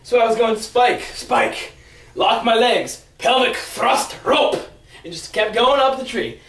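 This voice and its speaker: High-pitched excitable man telling stories, echoey. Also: slow pace, a little hiss or hum. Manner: excitable, rambling, dramatic build-ups with shouts. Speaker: storyteller. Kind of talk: animated comic storytelling. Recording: roomy echo, far from the mic.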